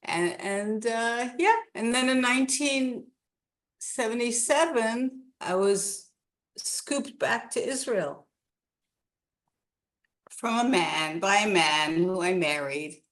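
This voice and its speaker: A woman's voice: a little high, takes it slowly, fairly bright tone. A woman in her sixties from Canada, a guest telling her life story in a rambling, casual chat with many restarts.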